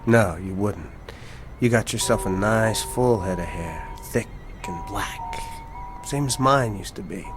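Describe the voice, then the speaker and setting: An actor playing a scheming working-class garage attendant in a television drama, talking colloquially and coaxingly. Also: male voice, fairly low pitch, unhurried, over music, lightly acted. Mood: sly, coaxing.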